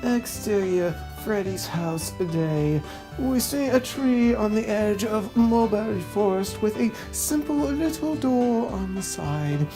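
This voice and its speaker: A woman's voice: medium pitch, animated, slow pace, music in the background, poor audio. A woman narrating a dramatic reading as host, with a snobby, pompous, droning delivery and mock-solemn scripted phrasing.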